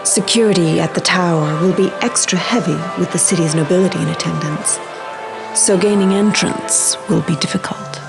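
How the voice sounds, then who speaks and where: The grave leader of a secret network in a game cutscene. Her voice sits a little low and she speaks formally, with measured authority. Music runs underneath. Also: creaky. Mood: stern, commanding. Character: solemn, commanding female leader of secret agents. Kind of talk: dramatic video game cutscene dialogue.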